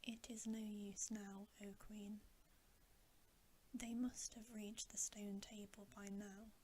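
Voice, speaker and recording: A woman's voice: medium pitch, level tone, takes it slowly, mellow, a little hiss or hum, lightly acted. A woman voicing a wary male dwarf servant, cautious and counselling, in a whispered storybook reading. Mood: doubtful.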